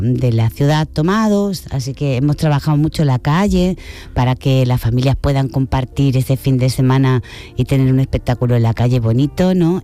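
A woman's voice: very deep voice, warm tone. A woman from Cádiz province with an Argentine-tinged Spanish accent, giving a radio interview as a festival director in an enthusiastic, chatty way. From Cádiz province, Spain. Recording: music playing behind.

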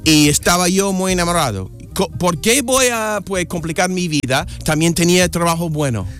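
A man from the United States, a native English speaker, chatting in Spanish with an American accent as an interview guest, halting and restarting words. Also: faint music behind. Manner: halting, restarts words, animated pitch swings. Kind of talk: casual interview chat.

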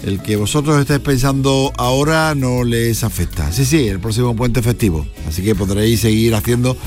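Male radio presenter over soft music. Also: mid-range voice, moderate pace. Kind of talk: playful scripted radio segment.

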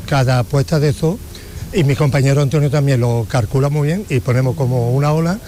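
A man, a beach bar waiter, answering interview questions and telling stories in a relaxed way. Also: mid-range voice. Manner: relaxed, anecdotal storytelling.

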